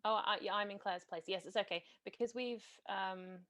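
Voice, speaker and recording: A woman hosting a game on a video call, chatty and organising, her voice a bit hoarse from talking all day. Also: medium pitch, steady tone, moderate pace, a bit bright. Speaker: game master and host. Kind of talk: casual chat on a video call.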